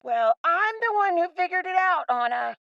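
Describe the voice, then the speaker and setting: A woman voicing an eager, cheeky little boy in a radio play, with an excitable, childlike delivery. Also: very high voice, normal speed, vocal fry, no room sound, muffled recording, heavily acted.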